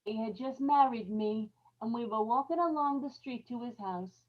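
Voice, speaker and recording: Woman acting a bitter haunted murderess wife, old radio horror play, anguished, highish voice, kinda muffled audio. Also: normal speed, natural voice. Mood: bitter, brooding. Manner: dramatic, anguished monologue. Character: tormented bitter young wife confessing to murder.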